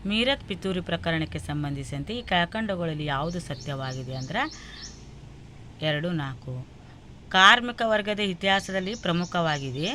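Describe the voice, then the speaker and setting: A woman's voice: fairly low pitch, measured pace, bright, forceful tone, slight background noise. A woman with a North Karnataka accent reading out exam questions and answers like a teacher, item by item. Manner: instructional, listing answers item by item.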